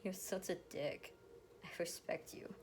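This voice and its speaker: Woman acting a grumpy, slow-drawling young woman. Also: on the low side, steady tone, a little mellow. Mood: irritated. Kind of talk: amateur fanfiction read-aloud. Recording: noticeable background noise.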